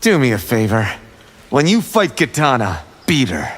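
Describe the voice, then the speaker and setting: An actor voicing a cocky, boastful young martial arts fighter in a video game, speaking theatrically. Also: male voice, medium pitch, sing-song, normal speed, slight background noise, natural voice. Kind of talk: video game character acting.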